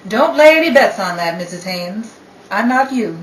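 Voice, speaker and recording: Brazen sardonic mistress, played by a woman, animated 1930s radio delivery, flippant, recorded a little off mic. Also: mid-range voice, normal speed, close to an everyday voice. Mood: cool defiance. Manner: dismissive, sardonic. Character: brazen, cynical young mistress, hard and flippant. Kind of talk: 1930s radio play acting. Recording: echoey room, distant mic, faint noise.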